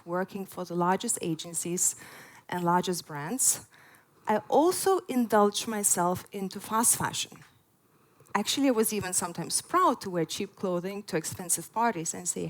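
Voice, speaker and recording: A woman's voice: medium pitch, poor audio. A woman in her forties, originally from Lithuania, giving an earnest prepared talk at a festival with frequent "uh" fillers. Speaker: conference speaker. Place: festival stage before an audience.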